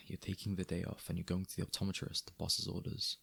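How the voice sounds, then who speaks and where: Mafia boss for ASMR roleplay, male actor: wry and gentlemanly, low-pitched and very husky, dry conversational delivery. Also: flat delivery, a little fast, no room sound, full character voice. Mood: exasperated, stern. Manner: dry, conversational, sardonic, addressing listener as doll. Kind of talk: ASMR audio roleplay, intimate scripted acting.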